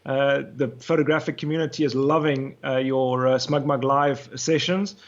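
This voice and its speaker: A man's voice: mid-range voice, fairly even intonation, normal speed, lo-fi sound. A man from South Africa guesting on a video call, talking casually with many hesitations. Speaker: guest wedding photographer. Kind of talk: casual interview chat.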